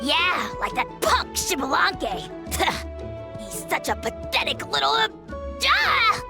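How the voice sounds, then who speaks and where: A woman's voice: extremely high pitch, huge pitch swings, takes it slowly, music in the background, full character voice. A woman voicing a tiny, irascible dragon lord in a game, pompous, bombastic and insulting, easily flustered. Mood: scornful, threatening. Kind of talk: cartoonish game voice acting.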